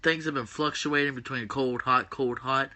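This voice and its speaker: A man's voice: medium pitch, steady tone, moderate pace, sharp tone. A man with a groggy voice hosting a casual livestream, rambling with many fillers and answering viewers' comments. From West Virginia. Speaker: host of a wrestling predictions stream.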